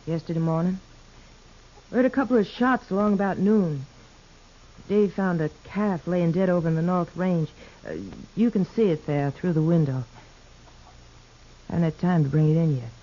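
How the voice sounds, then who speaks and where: A woman's voice: on the low side, moderate pace, pauses often, dark tone, close to an everyday voice. A woman playing an earnest, worried young ranch sister in a 1950s radio western, with a rural American accent. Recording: dull, noisy 1950s radio recording.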